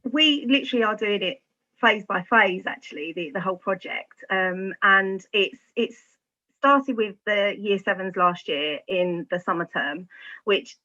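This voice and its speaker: A woman's voice: medium pitch, unhurried, pushed and bright. A woman explaining things informally as a school staff member, in hesitant, loosely structured phrasing. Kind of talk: informal explanation in an online discussion. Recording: slightly muffled, band-limited audio.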